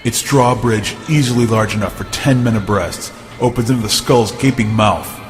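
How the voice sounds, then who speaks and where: A man's voice: lowish voice, moderate pace, natural voice. A man playing a proud, warlike barbarian king hungry for power, in a fantasy audio drama with grand, solemn delivery. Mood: awed. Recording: long cavernous reverb, music behind.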